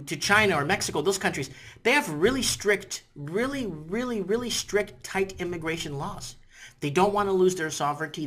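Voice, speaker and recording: Guy in his 30s ranting to his webcam, bright tone, portuguese is his first language, rambles and repeats a lot. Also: a little high. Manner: rambling, animated, repeats himself, "you know what I'm saying". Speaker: vlogger. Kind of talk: casual opinion vlog to camera. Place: indoors in front of a green-screen city backdrop.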